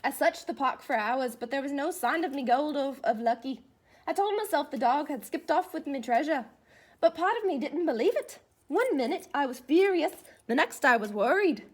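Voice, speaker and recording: A suspicious old leprechaun man from a storybook, acted out by a woman. He grumbles and boasts in a high, very animated voice. His telling is dramatic and grudging.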